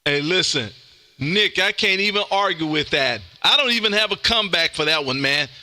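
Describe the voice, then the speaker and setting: A loud, folksy man from Mississippi hosting amateur radio contacts with an exuberant, chatty on-air delivery. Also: high voice, lively intonation, normal speed, dry recording, clean studio sound. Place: indoor home radio room.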